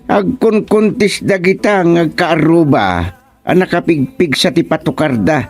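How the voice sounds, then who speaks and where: A man's voice: fairly high pitch, lively intonation, unhurried, soft background music. A man with an Ilocano accent playing a village husband who handles neighbours' complaints in a radio comedy drama. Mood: mildly concerned.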